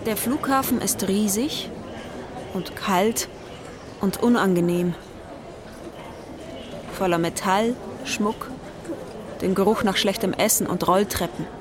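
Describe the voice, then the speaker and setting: A woman's voice: mid-range voice, slow pace, pauses often, crowd noise, natural voice. A woman narrating a radio drama in a scripted, literary style. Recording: clear urban outdoor ambience noise behind.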